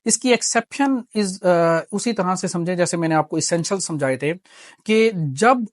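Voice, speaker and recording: A man's voice: high-pitched, animated, measured pace, no room sound, studio quality. A man with a Pakistani accent giving an explanatory law lecture, mixing in English terms and teaching didactically through examples and repeated key points.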